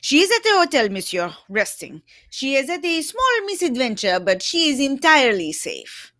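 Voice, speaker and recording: A woman's voice: high voice, very animated, normal speed, sharp tone, dry recording, clean studio sound, exaggerated voice. A young woman playing a fussy, self-assured Belgian male detective in a radio mystery reading. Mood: courteous, brisk. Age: young adult. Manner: theatrical, courteous, precise. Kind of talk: live reading of old-time radio mystery script.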